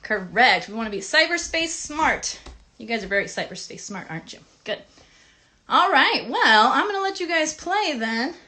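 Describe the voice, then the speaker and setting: A woman co-hosting a children's puppet show as the grown-up, talking in an encouraging, gently instructive, parental way. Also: high-pitched, big pitch swings, normal speed.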